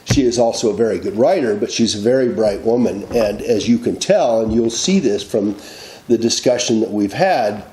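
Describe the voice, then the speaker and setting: A man hosting an interview, courteous and measured, prompting his guest with questions. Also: lowish voice, mellow, reverberant, a little hiss or hum, poor audio. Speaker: interview host. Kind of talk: casual interview.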